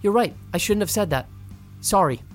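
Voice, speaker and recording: A man's voice: high voice, expressive, normal speed, faint music behind. A man playing a defensive, indignant young college roommate in an audio drama. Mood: grudging, curt. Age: young adult. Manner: indignant, prickly. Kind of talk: naturalistic audio drama acting.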